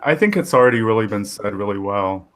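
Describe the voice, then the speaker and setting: A man in his fifties, a panel guest, speaking earnestly and thoughtfully with pauses. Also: on the low side, animated, a bit bright. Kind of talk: casual panel discussion.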